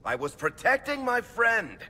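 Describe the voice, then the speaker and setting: An actor playing a wry, loyal dwarf storyteller burdened by guilt in a video game, speaking in an informal, sardonic, emphatic manner. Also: male voice, very high-pitched, very animated, measured pace, bright, forceful tone, full character voice. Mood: defensive, angry. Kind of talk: video game cutscene acting.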